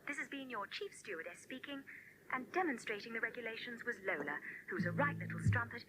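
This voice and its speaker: A woman playing a brisk, blunt chief stewardess giving a polished, cheerfully callous mock safety announcement in sketch comedy. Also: on the high side, lively intonation, a bit bright, faint music behind. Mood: blandly callous. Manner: polished, officious announcer delivery. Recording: noisy live stage comedy recording.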